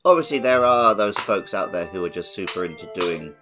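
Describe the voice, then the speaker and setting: A man with a fairly low voice talks casually as a podcast co-host. Faint music plays behind him while he chats about games in an informal way. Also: expressive, fairly quick, pushed and bright. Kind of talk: casual chat, gaming podcast. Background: background music.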